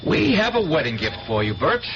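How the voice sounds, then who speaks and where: A man's voice: on the high side, big pitch swings, brisk. A man playing an earnest, genial painter about to marry, eager and slightly formal, in a 1970s radio drama. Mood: eager, grateful. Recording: dull 1970s radio recording with music.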